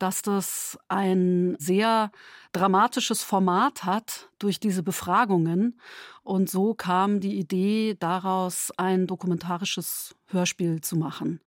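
Female dramaturge, explanatory interview. Slow, measured pace, mid-pitched, very dry. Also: no room sound. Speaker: chief dramaturge.

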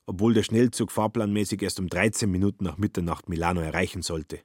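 A man's voice: low-pitched, steady tone, fast, slightly rough, no room sound. A man performing narration and dialogue in a literary radio play, dry and matter-of-fact.

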